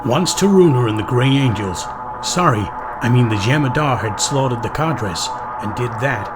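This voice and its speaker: An actor playing an eager, informal human colonist assistant in a sci-fi audio drama. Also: male voice, mid-range voice, expressive, moderate pace, natural voice. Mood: eager, fumbling. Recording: loud music under the voice.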